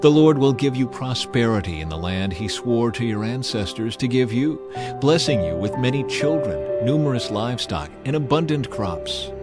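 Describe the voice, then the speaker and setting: A man voicing a solemn, commanding prophet addressing his people in a dramatized scripture reading, declamatory and deliberate. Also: mid-range voice, lively intonation, natural voice. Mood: solemn, assuring. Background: music behind the voice.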